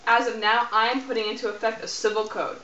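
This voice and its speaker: A woman's voice: fairly high pitch, steady tone, fast talker, pushed and bright, roomy sound, recorded from a distance. A woman playing a proud, commanding male emperor in an amateur school puppet show. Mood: imperious. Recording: dull, faintly noisy amateur recording.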